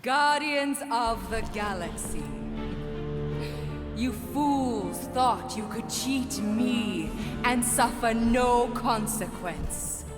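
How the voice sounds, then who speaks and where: Vengeful monster queen for a game, high-set, deliberate, scornful authority, reverberant with music. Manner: slow, haughty, menacing pronouncements. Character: imperious, vengeful monster queen with a private army. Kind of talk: dramatic video game acting. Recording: echoing hall, music behind.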